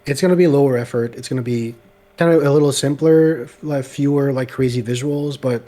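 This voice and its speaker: A man whose first language is French, chatting casually about making videos; his voice is slightly gravelly, he hesitates and fills with "like", and he is picked up on a laptop microphone. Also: mid-range voice, a little fast, warm tone. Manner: hesitant, many "like" fillers. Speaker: guest video creator. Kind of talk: casual podcast chat.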